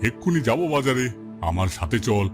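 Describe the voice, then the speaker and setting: A male actor plays a bossy headman in a moral-story cartoon. He is domineering and demanding, in an average-pitched man's voice. Loud music runs behind the speech. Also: big pitch swings, brisk, natural voice. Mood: indignant, threatening. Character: bossy, bullying village headman who collects market rent.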